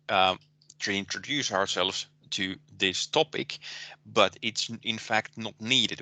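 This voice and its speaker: A man with a Finnish accent giving a recorded programming lecture, pausing often with ums and restarting his sentences. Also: fairly low pitch, pushed and bright, no room sound. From Finland. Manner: hesitant, many ums and restarts. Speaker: university lecturer.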